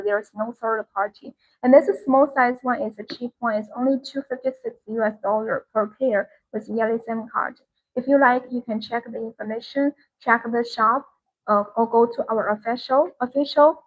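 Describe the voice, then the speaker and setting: A woman presenting products on a live sales stream, explaining informally with repeated points and halting, non-native English phrasing. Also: a little high, poor audio.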